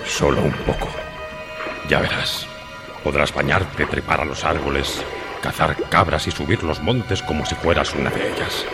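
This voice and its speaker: An actor playing a cunning, commanding pirate sea cook in a Spanish radio drama, scornful and domineering. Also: male voice, very deep voice, fairly even intonation, normal speed. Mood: coaxing. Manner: scornful, domineering, coaxing with the boy. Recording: radio drama with loud music behind.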